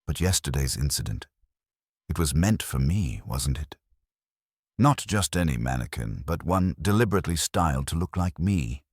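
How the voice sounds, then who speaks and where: A man's voice: extremely low pitch, very animated, moderate pace, slightly rough, no echo, clean studio sound, full character voice. A man voicing an anxious, candid young married woman confiding in a mystery story. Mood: troubled. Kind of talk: scripted mystery story dialogue.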